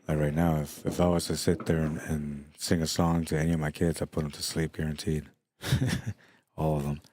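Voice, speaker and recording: Deep bass guy murmuring pool commentary, flat and low, kinda tired. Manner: soft, hushed, unhurried. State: tired late in the day. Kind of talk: quiet live sports commentary. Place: pool hall during a tournament.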